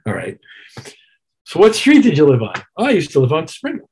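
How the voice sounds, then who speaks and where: A man's voice: on the high side, wildly swooping pitch, brisk, a little mellow, a little hiss or hum. A middle-aged or older man, a musician being interviewed, telling detailed, reflective anecdotes in a casual way and acting out bits of remembered dialogue. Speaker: musician and producer, interview guest.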